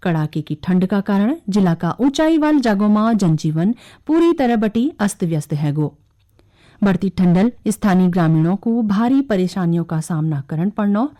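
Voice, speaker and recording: A woman's voice: medium pitch, expressive, moderate pace, warm tone. A woman with a Kumaoni accent reading a scripted regional radio news bulletin.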